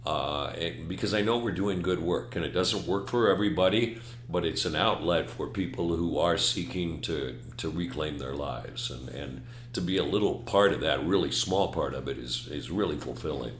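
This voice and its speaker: An older man with a very low voice made even deeper and rougher by a chest cold. He speaks in an easygoing, self-deprecating way, and the studio sounds roomy with a faint hum. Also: normal speed. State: chest cold, hoarse deep voice. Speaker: podcast co-host, retired newsman.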